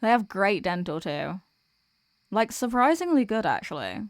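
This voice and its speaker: Demoness girlfriend, played by a woman: tired office worker, tender and chatty, mid-pitched, intimate ASMR roleplay, very dry recording. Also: big pitch swings, moderate pace, dry recording. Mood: coaxing, hopeful. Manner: chatty, affectionate, intimate address to a partner. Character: sweet, weary demoness girlfriend venting about office work.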